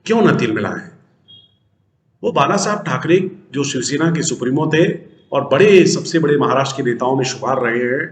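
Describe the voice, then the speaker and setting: Echoey male political news commentator. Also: medium pitch. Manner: emphatic, rhetorical questions answered by himself. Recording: roomy, echoing sound.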